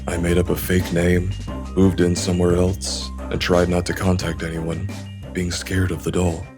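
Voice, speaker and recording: A man plays a guilt-ridden, fearful loner in a visual novel drama. His low voice is measured and earnest, like a confession, with music clearly playing underneath. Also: monotone, natural voice. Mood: resigned, explaining. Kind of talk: visual novel English fan dub, dramatic dialogue.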